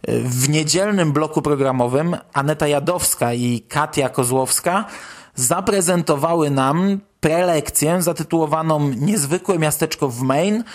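A man hosting a podcast, giving conversational commentary. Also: on the high side. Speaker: podcast host.

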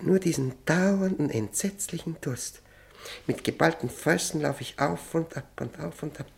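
An actor playing an obsessed, thirst-tormented prisoner who is losing his mind, in an intense, feverish radio drama performance with halting repetitions. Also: a little high, gravelly. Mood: feverish, driven. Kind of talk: German radio drama monologue and dialogue.

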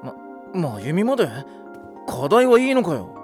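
Animated high school boy, casual, blunt, kind-hearted, wide intonation, anime audio drama. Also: moderate pace, over music. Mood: puzzled, exasperated. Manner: blunt, informal boyish speech.

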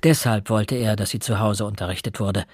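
An actor playing a reflective young man, narrating a radio crime drama in a measured, earnest way. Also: on the low side, steady tone, darkish tone, breathy edge, no echo, studio quality. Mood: rueful. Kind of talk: scripted first-person radio drama narration.

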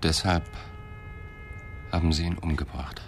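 An actor playing a calm police detective confronting a suspect in a radio play, measured, probing and restrained. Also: male voice, rumbling low, a little hiss or hum. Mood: accusing. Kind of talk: 1990s German radio play acting.

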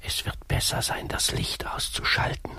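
An actor playing a sanctimonious, conniving father confessor in a comic radio drama, hushed and unctuous. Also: normal speed, in a whisper. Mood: pious, conspiratorial.

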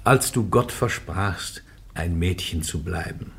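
A man's voice: sits low, slow pace, exaggerated voice. An actor playing a stern male church interrogator who questions a prisoner insistently in a radio drama. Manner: insistent, rising to sharp accusations.

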